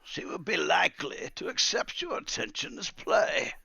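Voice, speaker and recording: A man voicing an old wizard, brooding and theatrical, with drawn-out lines in a tabletop fantasy game. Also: high voice, sing-song, gravelly, bright, forceful tone, husky, no echo, pitched above the performer's own voice, exaggerated voice. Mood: scheming, gentle. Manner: theatrical, drawn-out, swinging between cries and hisses. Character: old bearded wizard, grieving, brooding, quietly menacing.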